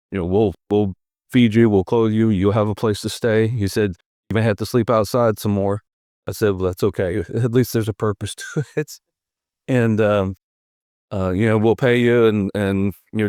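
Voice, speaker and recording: Man, 50s, low voice, dry close sound, interview guest, relaxed storytelling. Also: dry recording. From United States. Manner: unhurried storytelling with fillers and chuckles. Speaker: podcast guest.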